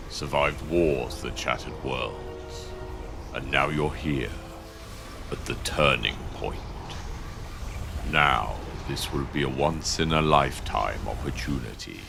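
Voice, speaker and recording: A man playing an ancient exiled cult leader in an audio drama, grandiose, menacing and embittered, with a deep gravelly voice. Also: heavily acted. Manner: slow, grandiose, commanding.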